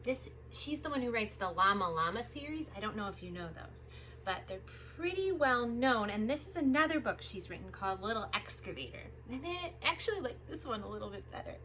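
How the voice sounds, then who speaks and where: A woman reading aloud to young children as a storytime host, expressive and sing-song, drawing out the sound words. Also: fairly high pitch, a little fast, slight background noise, low-quality recording. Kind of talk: animated picture-book read-aloud for young children.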